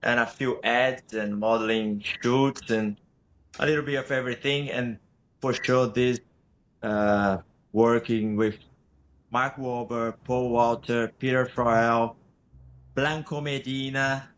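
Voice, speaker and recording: A man's voice: mid-range voice, steady tone, takes it slowly, a bit bright, low-quality recording. A man from Brazil with a Brazilian Portuguese accent, Portuguese as his first language, speaking hesitantly as an actor guest in a casual interview. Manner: hesitant, many restarts.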